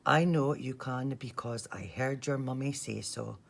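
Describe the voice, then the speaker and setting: A woman's voice: extremely low pitch, unhurried, vocal fry, exaggerated voice. A woman voicing a loving, reassuring daddy bear from a children's storybook in a tender, soothing manner.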